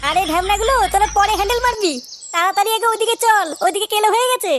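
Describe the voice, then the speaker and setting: A man's voice: extremely high pitch, racing, background noise. A man voicing a cheeky, scheming young village slacker in a comedy cartoon, slangy and. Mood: urgent. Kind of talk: foul-mouthed comedy cartoon dialogue.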